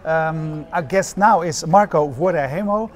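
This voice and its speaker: An older man interviewing a guest outdoors at an event, halting with many fillers and restarts. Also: a little high. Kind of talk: casual festival interview. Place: outdoor courtyard at a busy event.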